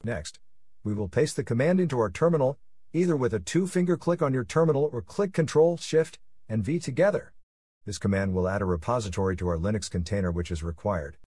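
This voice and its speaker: Husky man narrating a tutorial, dry sound. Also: mid-range voice, animated, a little fast, warm tone, no room sound. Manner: plain step-by-step instructing. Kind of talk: scripted software tutorial narration.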